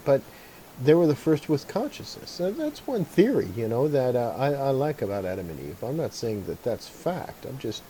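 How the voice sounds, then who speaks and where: A man with a mellow, dark voice talks in a loose, reflective way, pausing with fillers and restarting his sentences as he goes. Faint background noise sits under the recording. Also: mid-range voice, rough recording. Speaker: video maker. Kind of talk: casual talk to camera.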